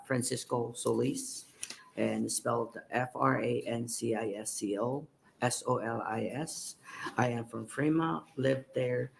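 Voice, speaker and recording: A middle-aged man from Guatemala whose first language is K'iche', reading testimony at a hearing with halting delivery and many fillers. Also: medium pitch, gravelly, slight background noise.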